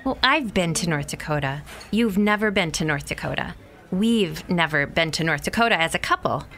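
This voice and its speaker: A woman's voice: on the low side, lively intonation, moderate pace, a bit bright, faint music behind. A woman playing a cheerful but exasperated wife, reasoning insistently with her husband in a radio play. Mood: coaxing, exasperated.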